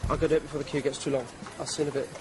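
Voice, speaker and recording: A man presenting a television show, calmly narrating and explaining things in a measured way. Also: a little high, noisy background. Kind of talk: scripted narration and on-location talk. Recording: music playing under the voice.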